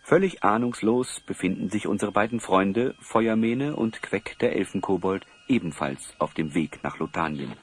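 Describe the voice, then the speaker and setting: A man's voice: lowish voice, normal speed, hardly any pauses, slightly rough. A man narrating an audio drama in a scripted storytelling style.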